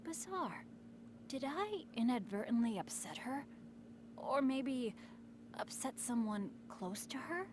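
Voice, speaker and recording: A timid young game character, fairly high and polite. She sounds hesitant and puzzled, with a clear hum in the background. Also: expressive, slow. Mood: puzzled, worried.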